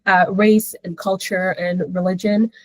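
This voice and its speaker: Flat-toned woman moderating a webinar. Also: medium pitch, measured pace, no echo, low-quality recording. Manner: friendly, filler-heavy, meandering. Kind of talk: hosting a panel webinar. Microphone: video call.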